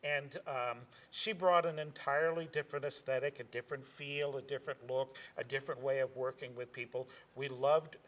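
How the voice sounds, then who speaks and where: A man's voice: medium pitch, steady tone, fairly quick, hardly any pauses, a bit bright. Hesitant man, a theater director. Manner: hesitant, lots of fillers, chuckles. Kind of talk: conversational interview answers. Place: theater stage.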